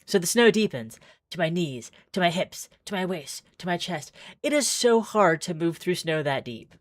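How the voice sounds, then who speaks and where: A woman's voice: fairly low pitch, animated, moderate pace, no room sound. A woman streaming a visual novel, moving between casual, rambling commentary and dramatic read-aloud narration.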